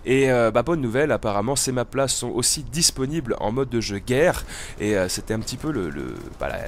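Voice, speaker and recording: Man, medium pitch, has a cold, casual gameplay commentary, meandering hesitant delivery, faintly noisy recording. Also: animated, brisk. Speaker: gaming video host.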